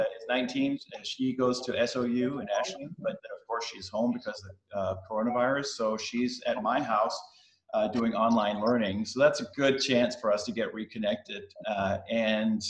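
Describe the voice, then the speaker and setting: A middle-aged man from Denmark who learned English as a second language gives a presentation over a video call. He speaks casually and haltingly, with frequent fillers and restarts. His voice sits in a middle range and carries a roomy echo from the call. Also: mid-range voice. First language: Danish. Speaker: guest speaker.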